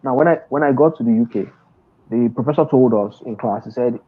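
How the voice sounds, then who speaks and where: A man's voice: mid-range voice, a little fast. A middle-aged man, a guest on a video call interview, talking emphatically with many restarts. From Nigeria. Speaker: guest trader and mentor. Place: indoor room, seated in an office chair. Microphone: earphone headset on a video call. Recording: dull lo-fi video call audio.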